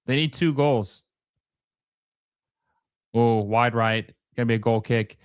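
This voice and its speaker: A man's voice: medium pitch, slow, smooth. The man you would hear on a fan's live watch-along stream, casually calling each touch in short bursts from a dry, echo-free spot. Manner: casual fan-like reactions in short, clipped play-by-play phrases. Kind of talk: casual live match commentary.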